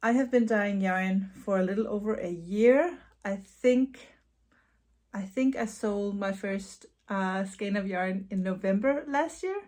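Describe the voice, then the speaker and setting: A Danish woman with a Danish accent, answering viewer questions in a casual knitting vlog at home, chatty with soft laughs and repeated words. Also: mid-range voice, measured pace. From Denmark. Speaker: knitting vlogger and yarn dyer. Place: her home.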